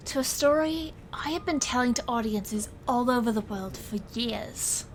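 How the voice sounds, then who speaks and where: Woman playing intense magician heroine telling her story, theatrical and earnest, sharp bright voice, bit of noise behind. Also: fairly high pitch, poor audio. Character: dramatic stage illusionist with magic powers, proud and intense heroine. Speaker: narrator and lead character. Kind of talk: scripted first-person audio drama narration.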